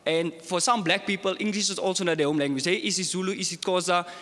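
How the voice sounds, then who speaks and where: A man's voice: sits high, steady tone, fast, fairly bright tone, big-room sound. A young man speaking earnestly as a student panelist, often saying "like" and growing heated as he makes his points. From South Africa. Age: young adult. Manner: earnest, grows heated, many fillers. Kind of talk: panel discussion.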